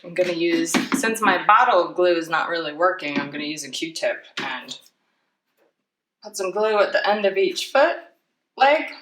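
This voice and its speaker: A woman with a slightly low voice walks children through a craft in a chatty, playful way, tossing in self-deprecating asides. She is heard at a distance from the microphone in an echoey room. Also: expressive, moderate pace. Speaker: children's library storytime presenter. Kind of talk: casual craft demonstration for children. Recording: roomy, distant mic, clear background noise.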